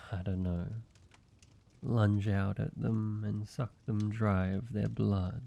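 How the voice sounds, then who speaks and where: A man playing a lonely, courteous, softly wry vampire in a cozy audio roleplay, speaking in a measured, intimate way with pauses. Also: fairly low pitch, gravelly, heavily acted. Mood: wry, companionable. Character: lonely, gentle, teasing male vampire, centuries-weary and courteous. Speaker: amateur voice actor. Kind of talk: cozy scripted audio roleplay, addressed to one listener.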